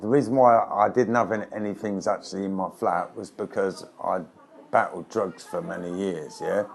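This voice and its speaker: A middle-aged man talking frankly in an interview, often adding "yeah?", recorded indoors in his flat. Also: sits low, normal speed, creaky, faint music behind. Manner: frank, streetwise, frequent "yeah?" tags. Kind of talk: candid interview.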